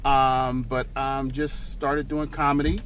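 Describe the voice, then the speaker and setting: A man's voice: mid-range voice, flat delivery, slow, fairly bright tone, slight background noise. A middle-aged man from South Florida, a comedian and corrections officer, chatting casually in an interview and often saying "you know what I mean". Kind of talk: casual interview in a patrol car. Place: inside a moving car.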